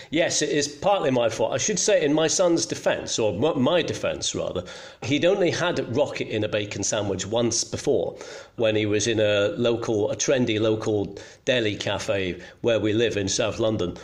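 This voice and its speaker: A man's voice: on the low side, normal speed. A middle-aged man from Scotland, telling anecdotes conversationally as a guest, in a self-deprecating way. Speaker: journalist guest.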